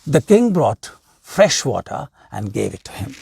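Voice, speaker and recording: A man's voice: medium pitch, very animated, measured pace, creaky, warm tone, slightly rough. A man reading a tale aloud as a storyteller. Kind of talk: read-aloud tale narration.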